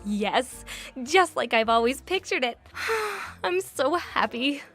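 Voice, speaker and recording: A squeaky, gushing teenage starlet. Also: female voice, takes it slowly, faint music behind, exaggerated voice. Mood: starry-eyed. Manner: breathlessly excited, girlish. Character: gushing, naive seventeen-year-old aspiring actress. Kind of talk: 1950 radio-play acting.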